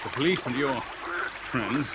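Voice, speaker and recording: An actor playing a tense, clipped, commanding escape organiser in a 1970s radio thriller. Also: male voice, mid-range voice, natural voice. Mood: brisk, planning. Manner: brisk, insistent questioning. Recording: noisy old radio drama recording.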